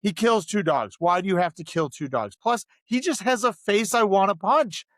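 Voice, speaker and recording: A man's voice: sits high, expressive, normal speed, no room sound, clean studio sound. A man co-hosting a casual film discussion podcast, talking emphatically and repeating phrases for stress. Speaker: podcast co-host.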